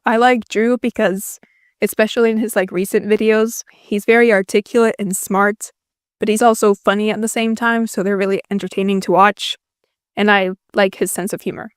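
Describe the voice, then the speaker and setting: A woman's voice: medium pitch, fairly even intonation, moderate pace, clear, resonant tone, no echo. Young Finnish-native woman YouTuber, casual Q&A voice-over, self-mocking, dry close mic. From Finland. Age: young adult. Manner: self-deprecating, dry jokes.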